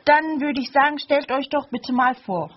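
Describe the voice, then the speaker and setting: A young woman in her late twenties hosts a studio chat, hesitating often as she speaks. Her voice sits fairly high, and the recording sounds dull and lo-fi. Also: normal speed. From Germany. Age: 20s. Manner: hesitant, with frequent fillers. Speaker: radio show host.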